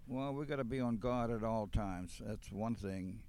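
A man in his eighties from Hawaii, a veteran answering interview questions in a plainspoken, halting way. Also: fairly low pitch, darkish tone. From Hawaii, United States. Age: 80s. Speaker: war veteran, memorial volunteer.

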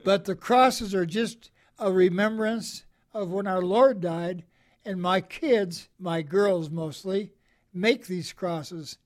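A man in his eighties, a veteran being interviewed, who talks in a cheerful, soft-spoken, gentle way and chuckles. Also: high-pitched. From American Midwest. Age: 80s. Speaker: Korean War veteran guest. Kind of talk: interview answers.

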